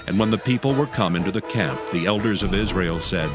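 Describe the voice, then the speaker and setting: Low-pitched male scripture narrator. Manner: measured, formal. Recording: music bed behind the voice.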